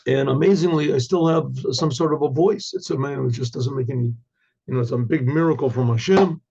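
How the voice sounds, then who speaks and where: An older hoarse man, a rabbi, giving a Torah class with halting restarts and frequent throat clearing. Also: mid-range voice, a little mellow, muffled recording.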